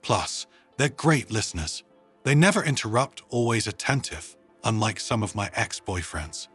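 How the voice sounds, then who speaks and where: A man voicing an enthusiastic, earnest woman scientist in a storybook, with a bright, eager, persuasive manner. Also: fairly low pitch, very animated, normal speed, husky, soft background music, exaggerated voice. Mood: cheerful enthusiasm. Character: enthusiastic, earnest female human scientist proudly presenting worms.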